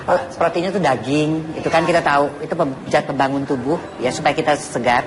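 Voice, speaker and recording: A woman's voice: low-pitched, fairly even intonation, fast. A woman doctor giving informal health advice on a talk show, explaining step by step with everyday comparisons and repeating her points. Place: television studio with audience. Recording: noisy TV studio with loud audience. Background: audience noise and laughter.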